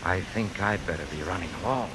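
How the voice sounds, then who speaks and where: A man's voice: low-pitched, brisk, lightly acted. An actor playing a mild, evasive married professor in a 1950s radio drama, polite and hesitant in his replies. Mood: polite, uneasy. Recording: noisy vintage radio recording.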